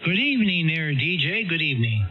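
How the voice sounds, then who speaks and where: A man's voice: fairly high pitch, sing-song, brisk. A man chatting casually as an amateur operator, his voice coming through a radio transmission. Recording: dull, noisy ham radio signal.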